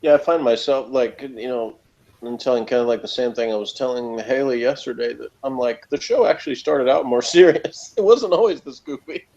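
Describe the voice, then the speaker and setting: A man's voice: mid-range voice, normal speed, a little mellow, muffled recording. A man chatting casually as a panelist on a review show, rambling and peppering his talk with "like" and "you know". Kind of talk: casual opinionated chat.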